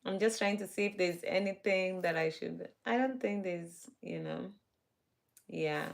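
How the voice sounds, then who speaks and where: A woman's voice: fairly low pitch, measured pace, vocal fry. A woman with a Kenyan accent hosting a casual livestream, chatting easily and greeting viewers, with many fillers and restarts. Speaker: livestream host. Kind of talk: casual livestream chat reading viewer comments.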